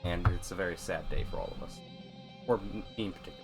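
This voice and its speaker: Low-voiced man, flat gaming commentary. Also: fast talker, over music. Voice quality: flat, monotone. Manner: rambling, self-deprecating. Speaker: gaming video host. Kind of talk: casual gameplay commentary.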